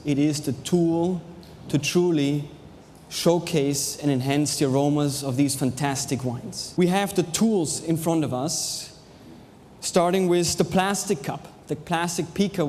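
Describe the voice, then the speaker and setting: An Austrian-born man whose English has an American sound, hosting a wine tasting before a live audience in short, pausing phrases with dry jokes. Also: fairly high pitch, a little mellow, slight background noise. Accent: American-learned English over an Austrian base. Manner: showman's pauses, chopped phrases, dry jokes. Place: large hall with a live audience. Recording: reverberant hall, faint ambience.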